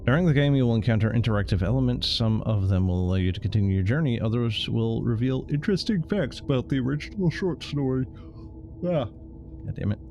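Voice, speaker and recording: A man's voice: mid-range voice, fairly quick, warm tone, dull audio, a little hiss or hum. A man doing casual game stream commentary in a rambling, sardonic, sweary way. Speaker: livestreamer.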